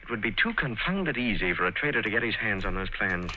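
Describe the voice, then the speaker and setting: A man's voice: fairly low pitch, big pitch swings, quick pace, dull audio, a little hiss or hum. A man playing a worried, secretive young clerk in a 1940s radio drama, with a tense, uneasy manner.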